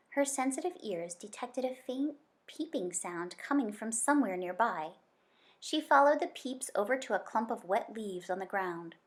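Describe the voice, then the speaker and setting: A woman narrates a children's story in a fairly high voice. She reads aloud expressively, as a storyteller for young listeners. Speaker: story reader.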